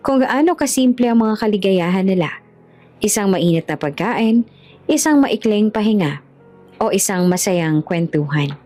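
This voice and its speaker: A woman's voice: medium pitch, warm tone. A woman reading a scripted romance story as the narrator of an audiobook. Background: background music.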